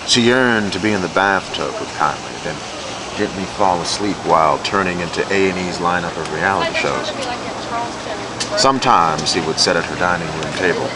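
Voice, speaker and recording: A man's voice: sits low, normal speed. A man reading literary fiction aloud in a storytelling style. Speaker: reader of a novella. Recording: noisy amateur recording with steady background hum.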